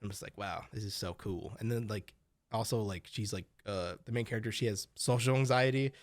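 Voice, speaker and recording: A man's voice: on the low side, brisk, no room sound, clean studio sound. A young man co-hosting a podcast, chatting casually with lots of fillers and swearing as he rambles. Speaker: podcast co-host. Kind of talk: casual chat.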